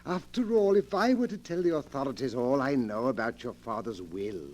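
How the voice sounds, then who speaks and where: A man's voice: medium pitch, lively intonation, moderate pace, darkish tone, lightly acted. An actor playing a deferential but greedy butler who blackmails his master, in a 1940s radio drama. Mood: sly. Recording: old radio broadcast recording.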